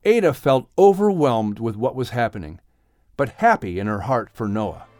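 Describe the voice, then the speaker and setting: A man's voice: mid-range voice, lively intonation, normal speed, darkish tone, dry recording, studio quality, faint music behind. A man narrating an audiobook story expressively, in a dramatic and earnest manner.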